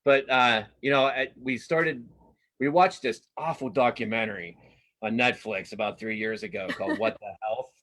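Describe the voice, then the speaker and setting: A man's voice: medium pitch, steady tone, a bit bright. A middle-aged man speaking as an interviewed cartoonist in a casual, earnest way, often interrupting himself and starting over. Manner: halting, self-interrupting, earnest.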